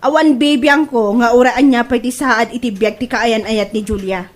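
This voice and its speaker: A woman's voice: fairly high pitch, steady tone, moderate pace, lightly acted. A woman playing an indignant, defensive young daughter in an Ilocano comedy drama, complaining emphatically with an Ilocano accent.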